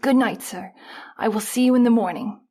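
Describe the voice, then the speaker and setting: An actress as an anxious, high-voiced mystery woman. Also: normal speed, warm tone, breathy edge. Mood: nervous, deferential. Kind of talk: old-time radio drama acting.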